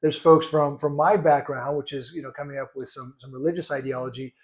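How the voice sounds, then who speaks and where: A man's voice: medium pitch, fairly even intonation, fairly quick. A man co-hosting a casual chat, earnestly clarifying points and often restarting his sentences. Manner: earnest, stresses being clear, restarts often.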